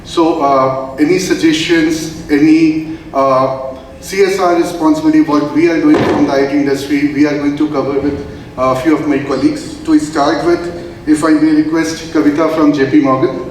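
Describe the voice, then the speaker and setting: A man's voice: a little high, steady tone, moderate pace, darkish tone, a little hiss or hum. Man giving a formal speech in an echoey hall. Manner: formal, hesitant, with many fillers. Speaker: industry representative moderating a panel. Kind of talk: formal speech at a public event. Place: large event hall. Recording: echoey hall, heard from a distance.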